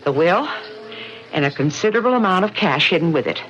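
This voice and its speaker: A woman's voice: fairly low pitch, animated, fairly quick, soft background music, natural voice. An actress in an old-time radio drama playing a determined woman investigating her friend's murder. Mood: resolute. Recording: muffled lo-fi radio broadcast.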